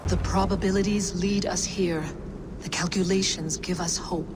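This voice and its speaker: An actress playing a grave, cryptic mystic counsellor in a video game, solemn and quietly resolute. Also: female voice, fairly low pitch, fairly even intonation, moderate pace, big-room sound, background noise, close to an everyday voice. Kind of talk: dramatic video game cutscene acting.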